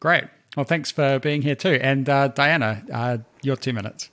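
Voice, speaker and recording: A man's voice: mid-range voice, moderate pace, a bit bright. A man hosting a podcast interview with local election candidates, moderating in an orderly, friendly way. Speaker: podcast host.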